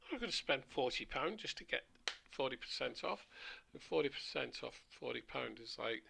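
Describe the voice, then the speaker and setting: A man streaming a flight simulator, chatting casually with rambling asides, his voice a little hoarse. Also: mid-range voice, expressive, normal speed, bright, forceful tone. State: slightly hoarse. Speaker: flight sim livestreamer. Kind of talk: casual livestream commentary.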